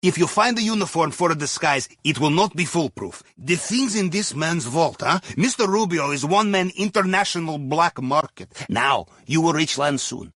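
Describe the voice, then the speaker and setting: A man's voice: a little high, animated, moderate pace, hardly any pauses, pushed and bright, no room sound, clean studio sound. A man playing a jovial, wry Russian submarine captain in a video game, with a Russian accent and a playful, theatrical way of guiding his crew. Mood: jovial, teasing. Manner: playful, theatrical, drops articles, tags sentences with questioning "yes?" or "huh?". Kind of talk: video game mission dialogue over comms.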